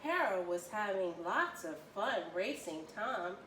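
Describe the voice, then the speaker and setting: A woman reading a children's story aloud with expressive, deliberate storytelling. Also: mid-range voice. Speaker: volunteer children's story reader. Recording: roomy, distant mic, faint noise.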